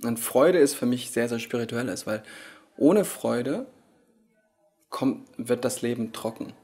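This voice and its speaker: A man in his thirties, Korean-born, who speaks with many pauses and fillers as a yoga teacher answering interview questions, recorded indoors on a handheld recorder. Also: medium pitch, animated, warm tone, breathy edge. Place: hotel lounge at a conference, indoors. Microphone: handheld recorder held by the interviewer.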